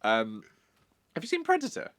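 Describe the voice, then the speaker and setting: A man's voice: a little high, wildly swooping pitch, moderate pace, fairly bright tone. A man co-hosting a film podcast in a casual, teasing chat. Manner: teasing, playful. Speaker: podcast co-host.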